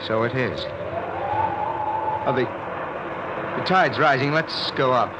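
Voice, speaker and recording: A man's voice: mid-range voice, slow pace, close to an everyday voice. A man playing an evasive, curt widowed husband in a 1940s radio drama, with clipped, guarded, dismissive lines. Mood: uneasy. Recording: noisy old-time radio recording.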